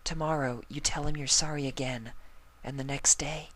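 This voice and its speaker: A man voicing a timid, gentle female pony who talks in a hushed half-whisper. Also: mid-range voice, steady tone, normal speed, voice pushed up, close to an everyday voice, low-quality recording. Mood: coaxing.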